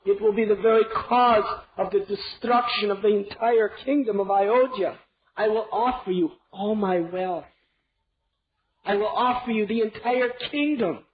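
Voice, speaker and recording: A man voicing a grief-stricken old king who pleads desperately, his voice rising high, on a dull lo-fi recording. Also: measured pace. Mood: desperate pleading. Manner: anguished, pleading, raises voice high.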